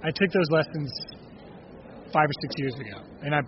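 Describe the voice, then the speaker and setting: Man, 30s, raspy speaking voice, halting and restarting, casual interview, dull lo-fi sound, steady noise behind. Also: fairly high pitch. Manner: halting, many restarts and fillers. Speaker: bluegrass singer-songwriter, guest. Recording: dull lo-fi audio with clear noise.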